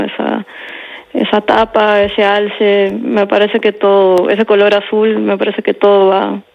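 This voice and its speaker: A woman's voice: mid-range voice, creaky, highs cut off. A Latin American woman writer answering interview questions thoughtfully, with many tag questions and restarts. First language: Spanish. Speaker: writer guest.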